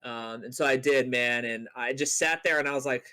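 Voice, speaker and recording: A man's voice: medium pitch, moderate pace, pushed and bright, smooth. A man chatting casually as a podcast co-host, telling stories in a rambling way with playful mock boasting. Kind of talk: casual chat on a gaming podcast.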